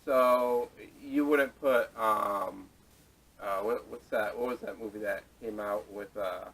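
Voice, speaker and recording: A man co-hosting a casual movie chat in a halting way, often restarting his questions, recorded on poor camera audio. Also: lowish voice, steady tone, fairly bright tone, husky, distant mic. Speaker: podcast co-host. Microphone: camera microphone at a distance.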